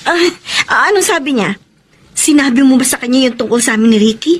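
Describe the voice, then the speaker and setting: An emotive, high-voiced young Filipina wife. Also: female voice, expressive, fast, husky. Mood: flustered. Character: conflicted young wife set on working abroad, defensive. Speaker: radio drama actress. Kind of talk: melodramatic Filipino radio drama acting.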